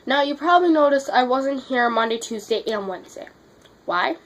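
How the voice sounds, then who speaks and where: A woman's voice: sits high, lively intonation, moderate pace. A teenage girl vlogging, sick and losing her voice with a runny nose, telling a story in a blunt, exasperated way and swearing freely. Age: teens. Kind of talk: casual storytelling vlog.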